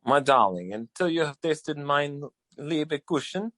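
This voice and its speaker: A florid German charmer for an old radio comedy. The accent is German, the pitch leaps about, and the sound is dry and close. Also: male voice, expressive, a little slow, fairly bright tone, dry recording. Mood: flirtatious. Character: German-accented male friend in a rabbit costume, florid flirt. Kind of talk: 1940s radio comedy acting.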